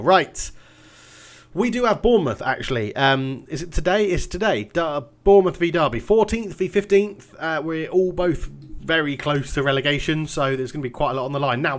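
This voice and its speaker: A man, congested and nasal from a cold, chatting casually through his football management game. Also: on the high side. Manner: chatty and rambling, self-mocking. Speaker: game commentator. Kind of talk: casual gaming commentary.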